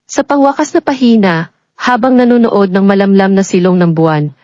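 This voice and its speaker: Female narrator, medium-pitched, measured and sentimental romance storytelling, slightly muffled lo-fi sound. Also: dark tone, no room sound. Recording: dull lo-fi audio with background music. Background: music.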